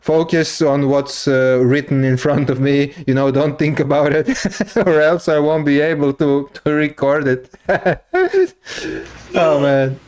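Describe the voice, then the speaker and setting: A man's voice: on the high side, unhurried, a little mellow, smooth. A man in his thirties hosting a reaction video, talking casually with lots of fillers and frequent laughs. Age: 30s. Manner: rambling, filler-heavy, laughs often. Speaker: reaction channel host.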